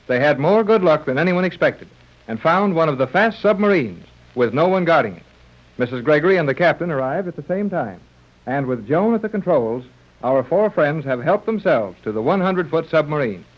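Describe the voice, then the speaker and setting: A male announcer with a somewhat high voice reads scripted narration in 1930s radio serial style. The vintage recording sounds dull and lo-fi. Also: lively intonation, fairly quick.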